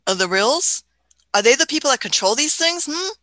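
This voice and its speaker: A woman's voice: high voice, animated, moderate pace, sharp tone, no room sound. A woman playing an elderly, inquisitive, fussy Doctor in a radio play, hemming and questioning as the character goes. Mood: curious. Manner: hemming, questioning, punctuates with hmm and uh.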